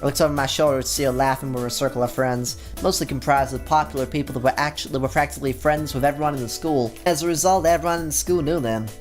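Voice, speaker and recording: A man reads a romance visual novel aloud at an even, plain pace, breaking off now and then for a casual aside. Soft game music plays in the background. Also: medium pitch, fast talker, hardly any pauses. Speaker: YouTube gamer.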